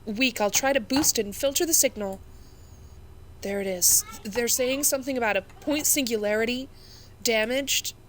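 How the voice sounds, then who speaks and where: A woman playing a starship communications officer crisply reporting to superiors in a sci-fi audio drama. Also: fairly high pitch, normal speed, slight background noise, close to an everyday voice. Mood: alert, urgent.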